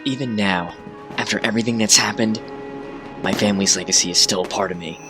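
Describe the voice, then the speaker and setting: A man playing a troubled, solemn, dutiful young ruler in an animated comic dub, speaking gravely. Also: on the low side, fast, music in the background, close to an everyday voice.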